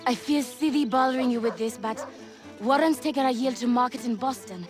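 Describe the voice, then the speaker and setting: An actress voicing a polite, gentle young homestead wife who is expecting a baby, in a video game. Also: female voice, sits high, brisk, music in the background, natural voice. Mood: warm. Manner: polite, apologetic.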